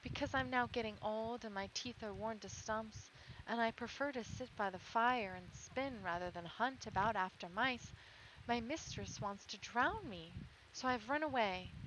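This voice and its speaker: A woman's voice: fairly high pitch, normal speed, a bit bright, lightly acted. Woman reading as glum old cat, noisy audio. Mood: forlorn.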